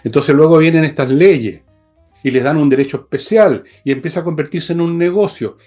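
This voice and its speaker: A man's voice: on the high side, a little fast, darkish tone, soft background music. A man with a Chilean accent giving opinionated political commentary as a host, emphatic, scornful and blunt, with coarse slang.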